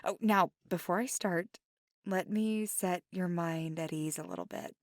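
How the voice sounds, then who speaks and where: A woman voicing a playful, mischievous, kindly witch in an intimate, unhurried ASMR monologue that slips into near-whispers. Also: lowish voice, no room sound, studio quality. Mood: giddy, excited, then gentle, reassuring. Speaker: ASMR audio performer.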